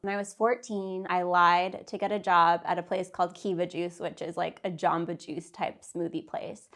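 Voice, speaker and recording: A woman's voice: on the low side, fairly even intonation, normal speed, fairly bright tone. A woman in her thirties, a business owner, telling her story in a casual, chatty interview. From Santa Fe, New Mexico, US. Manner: chatty, with "like" fillers and light laughs.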